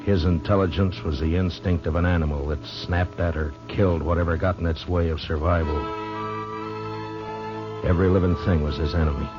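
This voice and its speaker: An actor playing a hard-bitten frontier marshal with an American accent, narrating and speaking tersely and grimly in an old-time radio Western. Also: male voice, sits low, fairly even intonation, a little fast, lightly acted. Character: steady, hard-bitten frontier marshal telling his story. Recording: dull old radio recording with music.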